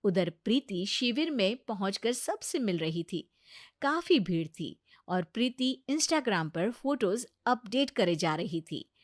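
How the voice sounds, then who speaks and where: A woman reads a scripted moral tale as its narrator. Her delivery is expressive, with lively intonation. The recording is dry, with little room sound. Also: mid-range voice, takes it slowly, no echo. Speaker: story narrator.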